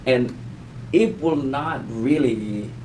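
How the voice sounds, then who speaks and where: Man explaining haltingly, faint background noise. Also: medium pitch. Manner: halting, rambling explanations with self-repetition. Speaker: audio explainer teaching beginners. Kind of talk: informal conversational lesson.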